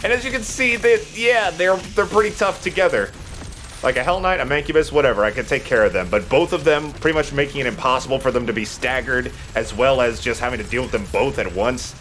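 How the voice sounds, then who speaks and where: A man's voice: medium pitch, brisk, fairly bright tone, a little hiss or hum. A man giving casual, joking gameplay commentary in an informal way. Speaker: let's play co-host, player. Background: video game music.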